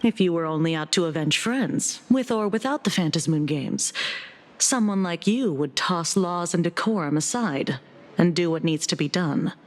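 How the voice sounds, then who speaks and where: Female game official, played by an actress: poised, probing, dryly teasing, lowish register, reverberant sound. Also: animated, lightly acted. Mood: coolly teasing. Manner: formal, measured, probing. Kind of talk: video game story dialogue.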